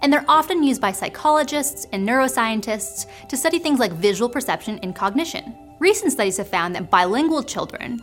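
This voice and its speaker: Lively woman narrating a science show. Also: fairly high pitch. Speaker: host.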